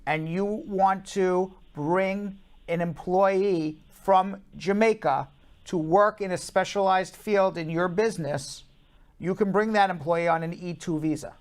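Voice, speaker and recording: A man's voice: high voice, steady tone, measured pace. A man hosting a live call-in show, giving legal advice to callers in a blunt, emphatic, repetitive way.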